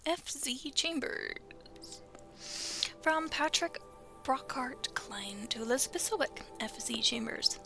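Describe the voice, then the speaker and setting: A high-pitched woman whispers through a game, reading messages aloud in a breathy, creaky hush. She breaks off for hesitant little asides. Game music plays clearly behind her. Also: expressive, slow. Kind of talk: soft whispered game playthrough.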